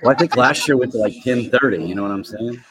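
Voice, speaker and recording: A man co-hosting a live wrestling watch-along, trading dry, deadpan wisecracks. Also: mid-range voice, quick pace, rough recording. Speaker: podcast co-host. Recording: bar crowd chatter behind.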